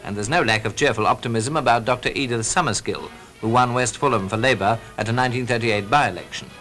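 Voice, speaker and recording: A man's voice: medium pitch, fast, a bit bright. A British man reading scripted newsreel commentary in the style of the 1940s, as a narrator. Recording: vintage 1940s newsreel soundtrack with music. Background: music.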